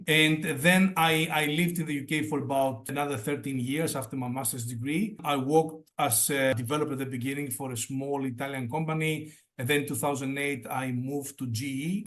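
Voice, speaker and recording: A man's voice: medium pitch, a bit bright. A man in his forties from Greece, a native Greek speaker, chatting as an interview guest and often restarting his phrases. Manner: restarts phrases often, fillers like "you know". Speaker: guest startup advisor. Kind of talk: casual interview chat.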